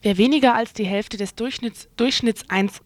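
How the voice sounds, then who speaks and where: A woman's voice: on the high side, moderate pace, soft background music. A woman working as a radio newsreader, speaking into a studio microphone in a booth. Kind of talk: scripted news reading.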